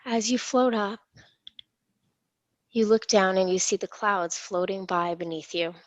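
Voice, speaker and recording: A woman leading a guided imagery meditation in a soothing, unhurried, reassuring manner. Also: mid-range voice, no room sound, muffled recording. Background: gentle instrumental music.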